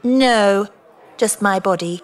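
A woman acting a brazen, sharp-witted younger woman in an audio drama, flirtatious and mocking with clipped replies. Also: medium pitch, slow, natural voice. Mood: teasing, provocative.

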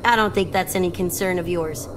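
A woman's voice: on the low side, fairly quick, noisy background, close to an everyday voice. A woman voicing a tough, guarded young smuggler with a cool, blunt manner in video game dialogue.

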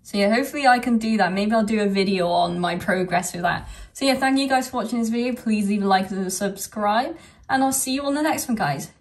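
Croaky-voiced woman chatting off-mic. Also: medium pitch, fairly quick, distant mic. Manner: soft-spoken, chatty, frequent small laughs. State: croaky voice from a sore throat. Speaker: unboxing vlogger. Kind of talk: casual unboxing commentary.

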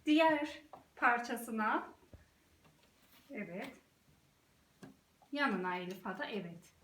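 High-voiced encouraging mother, distant and echoey. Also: animated, slow pace, a bit bright. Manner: encouraging, short praising prompts. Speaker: mother guiding her young child and presenting to viewers. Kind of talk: casual play commentary and instructions to a child. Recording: roomy, distant mic, clear background noise.

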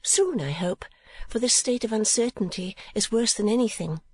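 A woman voicing an earnest, timid young woman who speaks softly and haltingly. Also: medium pitch, husky, pitched above the performer's own voice, close to an everyday voice.

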